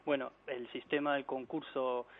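A man from Argentina with a mixed Argentine and Spanish accent, an architect answering interview questions by phone and talking haltingly, with many fillers and restarts. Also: mid-range voice, little pitch movement. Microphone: telephone. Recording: tinny phone line with faint hiss.

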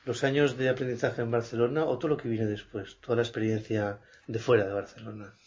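Playful, husky-voiced male podcast host. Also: medium pitch, quick pace. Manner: lively, playful questioning. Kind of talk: conversational interview. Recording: slightly muffled podcast recording.

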